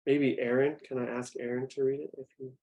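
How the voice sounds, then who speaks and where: A man's voice: medium pitch, little pitch movement, fairly quick, highs cut off. A young man, a youth program director, giving a conversational talk on faith and often putting questions to his listeners. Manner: conversational, asks the audience questions. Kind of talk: interactive talk to a group.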